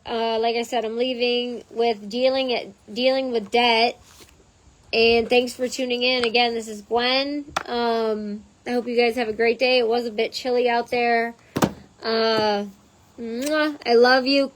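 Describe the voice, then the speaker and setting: A woman with a hoarse voice reading a text aloud and breaking off into chatty side notes, as the host of her own channel. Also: on the high side, low-quality recording. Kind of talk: reading aloud with casual asides.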